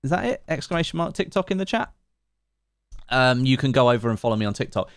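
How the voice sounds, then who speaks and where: A man's voice: medium pitch, sing-song, fast, dry recording. A man, chatting casually on a live game stream, reading chat aloud and making sarcastic asides. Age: late 20s.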